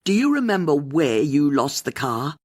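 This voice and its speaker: Man voicing an upbeat radio presenter lady, breezy, bouncy intonation, a bit raised, husky edge. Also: fairly high pitch, big pitch swings, normal speed, voice pushed up.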